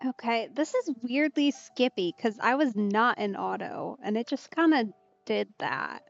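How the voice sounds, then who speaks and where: A woman's voice: a little high, expressive, a little slow, creaky, soft background music. A woman giving casual let's play commentary as she streams a story game. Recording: slightly dull, band-limited stream audio.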